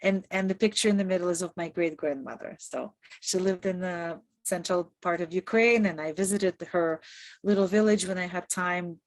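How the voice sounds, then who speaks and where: A woman's voice: lowish voice, moderate pace, no room sound. A woman from Kazakhstan, a native Russian speaker, giving an upbeat, conversational information session as a returned volunteer over a video call. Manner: conversational, upbeat, laughs often. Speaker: Peace Corps presenter and returned volunteer. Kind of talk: informational presentation.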